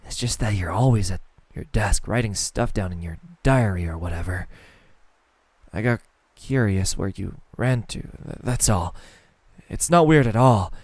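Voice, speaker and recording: Comic-dub shy boy, played by a man: lowish, husky, flustered, brusque, dry recording. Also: expressive, a little slow, darkish tone, dry recording. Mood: flustered, defensive. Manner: hesitant, dismissive, flustered.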